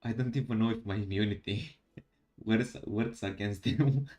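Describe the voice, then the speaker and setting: A man from Romania with a Romanian accent, chatting casually about a game late at night. He sounds tired and talks haltingly, with many fillers. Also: fairly low pitch, a little mellow. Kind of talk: casual gaming chat.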